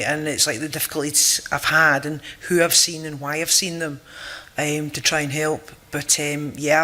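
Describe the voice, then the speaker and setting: Bright, flat-toned chatty man. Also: fairly high pitch. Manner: chatty, joking. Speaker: patient panelist. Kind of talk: casual panel talk.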